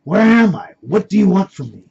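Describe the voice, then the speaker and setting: A man's voice: mid-range voice, moderate pace, vocal fry, a little mellow, slight background noise, natural voice. A man voicing a weary, stubborn old male captive in an audio story, speaking plainly. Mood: defiant. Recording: dull lo-fi recording.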